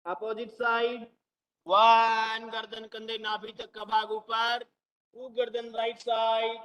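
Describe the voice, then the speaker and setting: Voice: mid-range voice, completely flat delivery, slow, a bit bright. A yoga instructor leading an online class with short, commanding cues and counted holds. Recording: muffled lo-fi online call audio.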